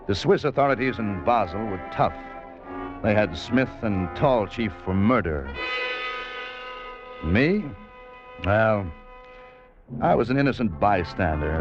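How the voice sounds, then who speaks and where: An actor playing a hard-boiled, wisecracking adventurer in an old radio drama, telling his story in a dry, clipped, tough-guy way. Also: low-pitched. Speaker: actor narrating as the hero. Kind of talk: 1940s-50s radio drama acting. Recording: muffled old radio recording, loud music.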